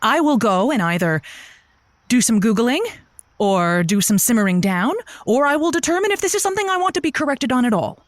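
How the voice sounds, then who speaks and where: A woman's voice: a little high, big pitch swings, a little fast, no room sound, clean studio sound. A woman playing a breezy, supportive radio advice host in an audio drama, with a playful, emphatic delivery. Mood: helpful, brisk.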